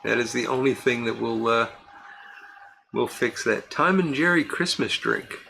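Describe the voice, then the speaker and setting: Man, livestream host, bright clear voice, chatty, laughs easily, faint background hiss. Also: mid-range voice, measured pace, poor audio. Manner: chatty, answers chat comments by name, laughs easily. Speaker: livestream host reading viewer chat. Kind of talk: casual live chat.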